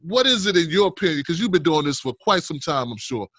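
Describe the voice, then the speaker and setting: A man's voice: on the high side, very animated, fast, a bit bright, slightly rough, no room sound. Middle-aged male host, close dry sound. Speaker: show host, interviewer. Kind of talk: informal political interview. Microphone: desk studio microphone, close.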